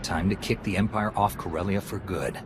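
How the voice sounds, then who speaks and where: An actor playing a heroic warrior leader in a video game, commanding and direct. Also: male voice, steady tone, fairly quick, lightly acted. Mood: determined. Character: decisive, heroic male space warrior leader. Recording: loud ambient rumble behind voice.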